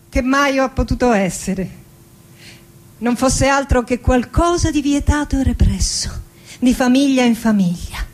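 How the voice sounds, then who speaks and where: An actress playing a melancholic middle-aged woman poet recalling her family in a slow, deliberate theatrical monologue with dramatic pauses. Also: fairly high pitch, darkish tone.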